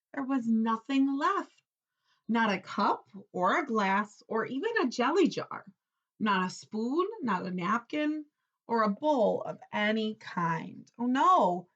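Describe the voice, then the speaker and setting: A woman's voice: on the high side, a bit bright, dull audio. A woman teacher reading a picture book aloud expressively, explaining simply and putting questions to young listeners.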